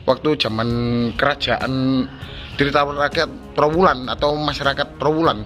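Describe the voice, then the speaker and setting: A man hosting a casual vlog outdoors, asking quick questions and repeating the answers back. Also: medium pitch, fairly even intonation, a bit bright. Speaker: vlog host. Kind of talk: casual field vlog interview. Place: outdoors at an old graveyard. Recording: music bed under the talk.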